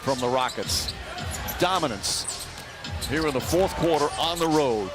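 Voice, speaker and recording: A man's voice: a little high, animated, measured pace, music in the background. A man calling a basketball game live in short, clipped, factual phrases. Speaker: basketball play-by-play announcer. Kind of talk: live sports commentary. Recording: heavy arena noise behind voice.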